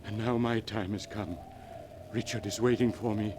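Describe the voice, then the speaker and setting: An actor playing a fearful, haunted middle-aged uncle in a melodramatic 1940s radio play. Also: male voice, fairly low pitch, fairly even intonation, moderate pace, close to an everyday voice. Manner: grave, melodramatic. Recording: old radio recording, clear noise.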